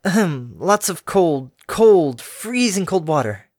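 Male reader playing a polite, cagey pegasus mare, slightly raised voice, lively and dry. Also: a little high, fairly quick, no echo, voice pushed up, lightly acted. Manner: polite, evasive, nervous chuckles. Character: secretive time-traveling pegasus mare, nervous and polite.

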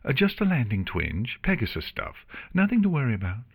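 A man's voice: mid-range voice, wildly swooping pitch, a little fast, husky, voice pushed up, exaggerated voice. A man voicing a brash, teasing young female pegasus paladin with a sarcastic manner for a storybook. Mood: flustered. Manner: cheeky, sarcastic.

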